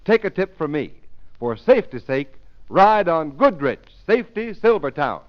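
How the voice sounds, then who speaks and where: Old time radio announcer guy selling stuff, high swoopy voice, muffled sound. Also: sing-song, normal speed. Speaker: advertising announcer. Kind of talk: 1930s radio commercial pitch. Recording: dull, lo-fi 1930s radio recording.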